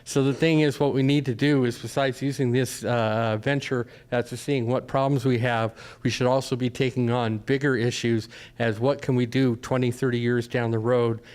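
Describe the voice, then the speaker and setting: A man's voice: mid-range voice, fairly even intonation, normal speed, few pauses, a little mellow, smooth. A man in his fifties from California, speaking earnestly from the audience at a public forum and asking the room questions. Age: 50s. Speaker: audience member. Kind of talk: public comment at a town forum.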